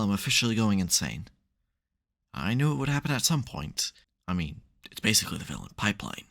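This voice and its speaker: A man's voice: deep voice, a bit bright. A man playing a smug, theatrical supervillain who secretly cares, in a dramatic, wry, chatty way for an ASMR roleplay. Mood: wry exasperation.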